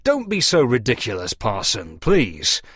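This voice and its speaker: Guy doing a blunt impatient country haggler for a storybook, really animated, dry sound. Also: medium pitch, unhurried, dry recording. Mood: indignant. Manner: blunt, insistent. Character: gruff, impatient, hard-bargaining countryman. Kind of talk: storybook character dialogue.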